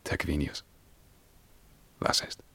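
Exalted brooding emperor, very slow solemn declamation, hushed to fervent, 1950s radio drama, dry close studio. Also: male voice, no room sound, whispered. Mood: subdued, commanding. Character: anguished, poetic Byzantine emperor, exalted and brooding. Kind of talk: 1950s German radio verse drama acting.

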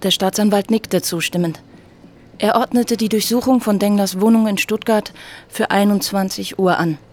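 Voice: mid-range voice, steady tone, moderate pace, darkish tone. A narrator reading scripted passages of a radio drama.

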